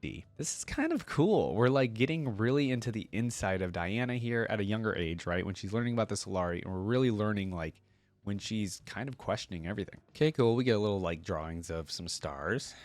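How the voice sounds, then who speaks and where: A man's voice: on the low side, expressive, brisk, no echo. A man hosting a reaction video, reading story text aloud and commenting casually. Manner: informal, thinking aloud.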